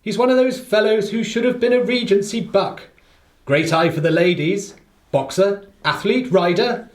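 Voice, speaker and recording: An actor playing an affable, chatty gentleman companion in a radio play, with a British accent and emphatic, conversational phrasing. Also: high-pitched, moderate pace, roomy sound, close to an everyday voice. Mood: chatty, informative.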